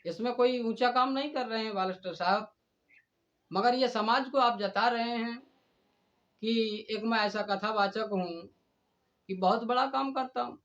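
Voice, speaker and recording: Guy with a pretty high voice lecturing and scolding someone like hes giving a speech, muffled lofi sound. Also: measured pace, pauses often, a bit bright. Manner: scolding, indignant, oratorical with repeated direct address. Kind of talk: admonishing monologue addressed to a rival and the community.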